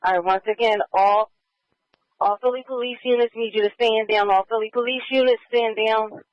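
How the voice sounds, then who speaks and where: A man's voice: high-pitched, fairly even intonation, normal speed, dry recording. A man working as a police radio dispatcher, repeating locations and orders in clipped radio phrases. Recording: muffled scanner radio audio.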